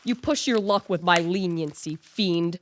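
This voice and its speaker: A woman's voice: lowish voice, measured pace, a little hiss or hum, close to an everyday voice. A woman acting a troubled, proud young male scientist in a radio play, formal and solemn. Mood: defiant.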